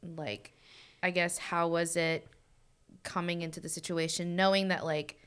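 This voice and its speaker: A woman hosts a podcast in a low voice with a smooth, clear tone. She is chatty and asks long, winding questions in an easy conversational way. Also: flat delivery, measured pace, a bit bright. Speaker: podcast host. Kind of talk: casual conversation.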